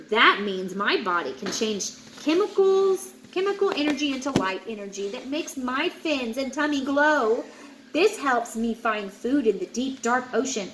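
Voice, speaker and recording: Woman as a proud, high-voiced storybook shark. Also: fairly bright tone, a little hiss or hum, poor audio. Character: assorted proud sharks introducing themselves in storybook.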